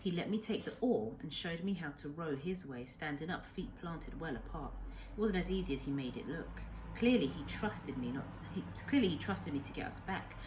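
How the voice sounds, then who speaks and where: A woman teacher reading a children's novel aloud as an expressive narrator. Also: low-pitched. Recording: faint background noise and ambience.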